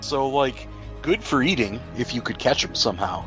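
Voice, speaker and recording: A man's voice: mid-range voice, expressive, normal speed, fairly bright tone, poor audio. Conversational male game master over music. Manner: conversational, explanatory. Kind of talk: improvised tabletop game narration. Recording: music playing behind the voice.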